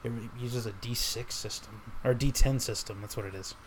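A man's voice: mid-range voice, fairly even intonation, normal speed, a little hiss or hum. Joking man on a casual podcast. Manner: joking, laughs often. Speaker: podcast co-host. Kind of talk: casual chat.

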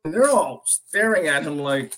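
A middle-aged American man with a fairly high voice gives an informal Bible teaching. He tells stories earnestly and conversationally, often repeating a phrase to drive it home. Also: normal speed, over music. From Philadelphia area, United States. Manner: earnest, animated storytelling with repeated phrases for emphasis. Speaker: preacher.

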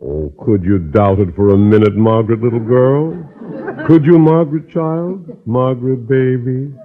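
An actor playing an evasive, smooth-talking older suitor in an old radio sitcom. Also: male voice, mid-range voice, normal speed, natural voice. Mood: wooing. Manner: hemming, evasive. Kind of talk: 1950s radio sitcom acting. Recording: dull old radio recording.

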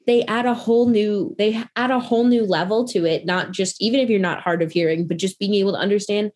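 A young woman with a Midwestern American accent talks in an upbeat, conversational way. She gets animated when telling stories and uses casual fillers. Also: mid-range voice, fast talker.

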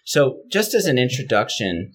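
A man hosting an interview podcast, introducing his guest in a measured, formal way. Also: medium pitch, big pitch swings, husky. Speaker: podcast host.

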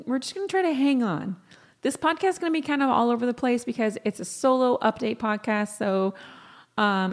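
A woman hosts a solo podcast in a loose, rambling chat. Her mid-pitched voice is scratchy and gravelly, tired from little sleep and a lot of talking. She is slangy, exasperated and self-deprecating. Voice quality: scratchy, croaky. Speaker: podcast host.

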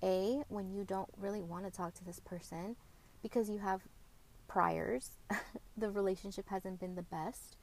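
A woman hosting a podcast, speaking openly and reflectively about co-parenting, often pausing and correcting herself. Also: mid-range voice, steady tone, darkish tone, rough recording. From Mexican heritage, grew up speaking Spanish at home. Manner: reflective and open, with frequent fillers and self-corrections. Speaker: podcast host. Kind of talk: candid personal podcast monologue.

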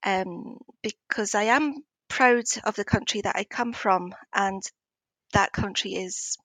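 A Scottish woman with an Edinburgh accent answers as an interview guest. She sits at a middling pitch and speaks in a measured, slightly hesitant, conversational way. The sound is close and dry, with hardly any room on it. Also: a bit bright, no room sound. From Scotland, Edinburgh.

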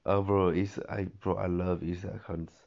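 Hoarse, gravelly young man rambling. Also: sits low, level tone, a little fast. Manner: rambling, slangy, many fillers and restarts. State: losing his voice, hoarse. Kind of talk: casual rambling monologue. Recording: dull lo-fi audio.

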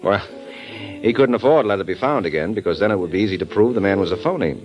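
An actor playing a wry, teasing private detective who lays out a case in an old radio drama. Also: male voice, on the low side, animated, fast talker, natural voice. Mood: explaining. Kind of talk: 1950s radio acting. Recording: dull old radio broadcast with music.

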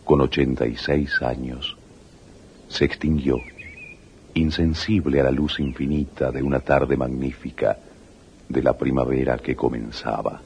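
Very deep, slow, solemn male narrator. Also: fairly even intonation, slight background noise. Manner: grave, declamatory. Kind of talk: solemn poetic radio drama narration. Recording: dull 1980s radio recording, loud guitar music.

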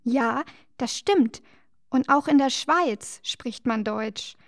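Woman, children's co-host, cheerful explainer, slightly high pitch, dry sound. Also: takes it slowly, dry recording. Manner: bright, explaining, gently teasing. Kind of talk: scripted children's educational dialogue.

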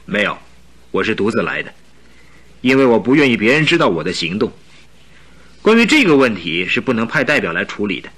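A man's voice: fairly low pitch, big pitch swings, a little fast, pauses often, fairly bright tone, slightly rough, exaggerated voice. An actor playing a haughty, secretive young king in a radio drama, speaking in a formal, grave and imperious manner. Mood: earnest. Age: young adult. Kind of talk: Mandarin radio drama acting.

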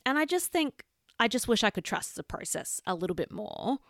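A woman, co-hosting a podcast in casual, animated chat. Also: on the high side, brisk, no room sound, studio quality. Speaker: podcast co-host.

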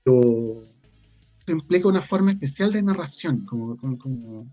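A man's voice: medium pitch, animated, moderate pace, mellow, faint music behind. A man with a Chilean Spanish accent, talking casually and reflectively as an interview guest, peppering his speech with colloquial slang. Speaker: guest, audio drama creator and scriptwriter.

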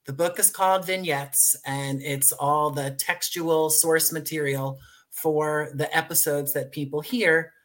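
Higher-voiced American man, effusive interview guest. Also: fairly even intonation, a little slow, fairly bright tone. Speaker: guest, audio drama writer and producer. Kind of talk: conversational interview.

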